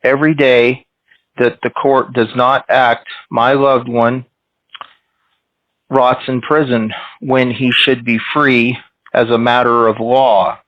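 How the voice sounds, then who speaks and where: A man reading out a scripted phone message in a deliberate, formal and polite way, slightly muffled. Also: medium pitch, little pitch movement, no echo. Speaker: caller leaving a voicemail for a court. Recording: slightly muffled, dull sound.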